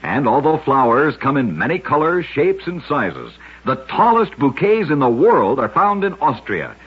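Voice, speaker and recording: A man's voice: mid-range voice, wildly swooping pitch, moderate pace, breathy edge, lo-fi sound. A man narrating short scripted oddity stories as a radio host, in a dramatic, emphatic 1940s storytelling style, on an old radio recording.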